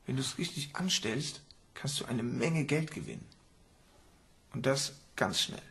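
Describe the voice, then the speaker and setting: A performer playing an eager, computer-absorbed teenager with a creaky voice in a radio crime drama. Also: medium pitch, very animated, a little slow, fairly bright tone, full character voice. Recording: faint noise, keyboard typing.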